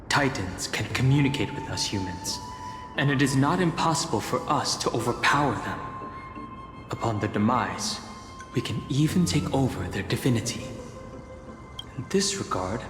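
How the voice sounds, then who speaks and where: Reserved young game hero, echoey. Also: male voice, mid-range voice. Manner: measured, contemplative. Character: calm, analytical young male traveler, reserved and thoughtful. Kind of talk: English-voiced fantasy game dialogue and monologue. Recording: echoey reverb, music underneath.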